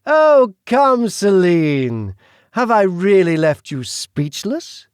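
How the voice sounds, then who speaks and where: A man voicing a grandiose, menacing duke with a British accent, slow and theatrical. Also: high voice, sing-song, clean tone, no echo, studio quality, pitched above the performer's own voice, full character voice. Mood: gloating. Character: suave menacing male duke, grandiose villain.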